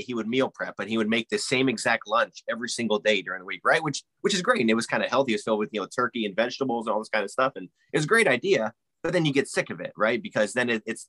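A man's voice: mid-range voice, a bit bright, no room sound, low-quality recording. A man co-hosting a casual podcast chat, leaning on fillers and tagging "right?" onto his points. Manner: fillers, keeps asking "right?".

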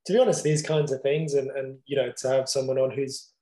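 A man's voice: mid-range voice, fairly even intonation, fairly quick, warm tone. A man co-hosting a podcast, chatty and rambling with fillers as he welcomes a guest. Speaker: podcast co-host. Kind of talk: casual podcast chat. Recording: roomy echo.

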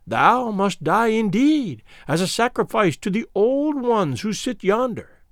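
Man putting on a shrill mocking old witch. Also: sing-song, measured pace, dry recording, clean studio sound, exaggerated voice. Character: ancient cruel female witch, mocking.

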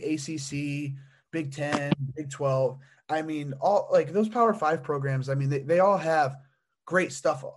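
A young man hosting a sports podcast, asking long, rambling questions full of fillers and restarts. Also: on the high side. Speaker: podcast host. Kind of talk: casual sports interview.

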